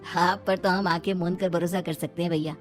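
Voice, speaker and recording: A woman's voice: on the low side, steady tone, a little fast, natural voice. Village husband played by a woman, middle-aged, hospitable, familiar, mildly bossy, cartoon story over music. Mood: agreeable. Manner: familiar, directing, agreeable.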